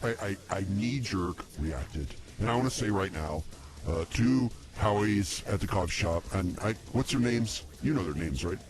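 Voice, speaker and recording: A man playing an easygoing, slightly slow comedy podcast host, plain-spoken and hesitant in improvised banter. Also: lowish voice, close to an everyday voice.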